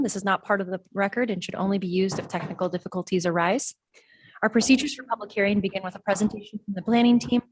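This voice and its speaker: Female meeting official, brisk pace, scripted procedural announcement. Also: medium pitch. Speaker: meeting staff reading hearing procedures.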